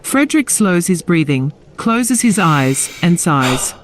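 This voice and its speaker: A woman's voice: on the low side, sing-song, slow pace, background noise. A woman reading scripted story narration for an audio drama. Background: music.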